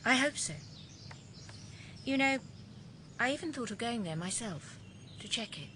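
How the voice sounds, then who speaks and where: A woman's voice: a little high, expressive, measured pace, pushed and bright, a little hiss or hum. A woman playing a composed, perceptive policeman's widow in a radio drama, direct and articulate. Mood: candid, restrained. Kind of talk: British radio drama acting.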